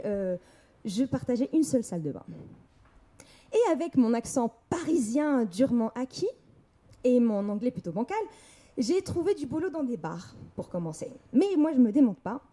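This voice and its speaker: A woman's voice: a little high, mellow. A young woman from Morocco giving a candid personal talk on stage, telling her story with laughter and quoted voices. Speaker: speaker. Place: event hall.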